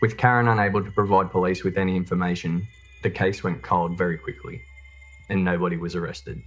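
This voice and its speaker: Very deep-voiced man narrating true crime. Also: steady tone, fairly quick. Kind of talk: scripted documentary narration. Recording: music bed under the voice. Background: background music.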